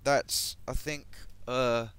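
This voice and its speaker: A man giving casual, hesitant commentary on game equipment, his voice a bit croaky, with many ums and self-corrections. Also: mid-range voice, steady tone, drawn-out words, a bit bright. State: voice going croaky. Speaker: gaming video creator.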